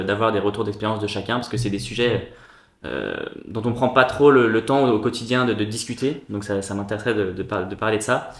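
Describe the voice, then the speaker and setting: A man's voice: fairly low pitch, fairly bright tone. A man hosting a casual round-table chat, hesitant, with many fillers and restarts. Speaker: host. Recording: echoey room.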